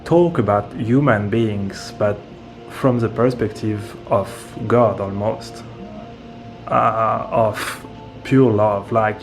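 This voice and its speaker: Slow-speaking man. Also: lowish voice, over music. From France. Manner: slow, hesitant, searching for words. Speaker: interview guest, medium and author. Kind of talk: casual interview talk.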